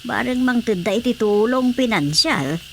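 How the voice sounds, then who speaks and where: A woman's voice: a little high, animated, unhurried, slight background noise. A woman playing a compassionate, plain-spoken older village wife with an Ilocano accent in a comic radio drama, earnest in manner. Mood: concerned.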